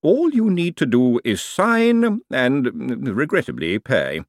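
A man's voice: mid-range voice, wildly swooping pitch, no room sound, studio quality, pitched above the performer's own voice, exaggerated voice. A man voicing a wry, fussy older male lawyer in a storybook reading, drawing out his words slyly. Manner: sly, drawn-out, conspiratorial. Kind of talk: audiobook character voice.

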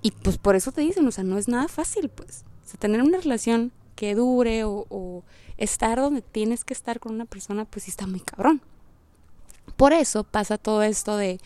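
A woman's voice: fairly high pitch, normal speed, dark tone, dry recording. A woman giving a casual, reflective monologue as a podcast host, informal and slangy, rambling as she thinks aloud. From Puerto Rico.

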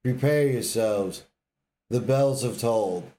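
Man doing an undead horseman, ominous, measured, mellow timbre, slow game-villain proclamation. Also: mid-range voice, natural voice. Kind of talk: recited game villain lines.

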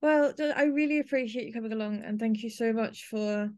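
A woman's voice: fairly high pitch, fairly quick, mellow, lo-fi sound. A woman, speaking conversationally as the host of a podcast.

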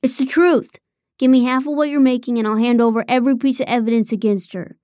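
A woman's voice: mid-range voice, steady tone, normal speed, dark tone, smooth, dry recording, studio quality, natural voice. A woman voicing a smug, goading male extortionist in an audiobook, with a taunting manner.

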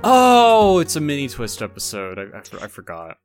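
A man's voice: medium pitch, huge pitch swings, normal speed, over music. A man chatting casually as a podcast co-host, with playful, bantering delivery.

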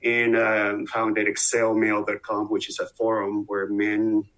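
A man's voice: on the low side, completely flat delivery, measured pace, a bit bright. Venezuelan man in his sixties. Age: 60s. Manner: earnest, many fillers and restarts. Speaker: guest expert. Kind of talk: interview answers.

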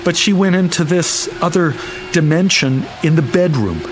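A man's voice: on the high side, lively intonation, normal speed, noisy background, close to an everyday voice. An actor in a radio drama playing a bewildered, worried father of a missing little girl. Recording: radio drama with music behind.

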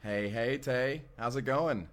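A man's voice: medium pitch, slow pace, noisy background, natural voice. A man playing an easygoing, self-deprecating young regular guy in an audio roleplay, casual and conversational. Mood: friendly. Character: easygoing regular young guy, breezily confident time traveler.

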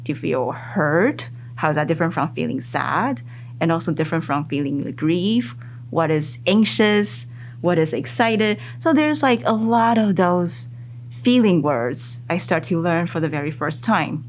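Mandarin-speaking woman, lowish voice, faint hum. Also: animated, unhurried. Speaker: psychologist guest. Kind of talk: casual interview.

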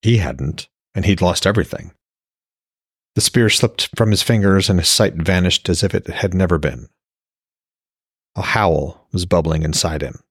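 A man's voice: deep voice, moderate pace, slightly rough, dry recording, studio quality. A man reading scripted fantasy fiction as an audiobook narrator. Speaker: author and podcast narrator.

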